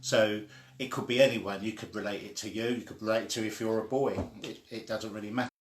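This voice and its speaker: A man reading a children's story aloud in a deliberate, simply phrased way. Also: on the low side, steady tone, a little hiss or hum. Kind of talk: slow read-aloud storytelling. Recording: echoey room.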